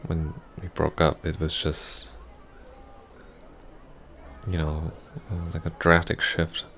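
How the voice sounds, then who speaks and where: A man acts out a hesitant, heartbroken ex-boyfriend for an intimate audio roleplay. He speaks slowly and softly in an even, subdued tone, stumbling over his words as he apologizes. There is faint noise behind the voice. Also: very deep voice, creaky, exaggerated voice. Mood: tentative, sad. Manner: halting, stammering, soft-spoken. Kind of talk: intimate ASMR roleplay acting.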